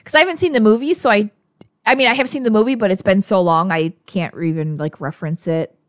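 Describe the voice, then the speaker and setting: A woman's voice: mid-range voice, fast talker, no echo, clean studio sound. A woman with a northern Upper Midwest American accent, co-hosting a casual podcast, candid and profane, with frequent filler words. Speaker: podcast co-host.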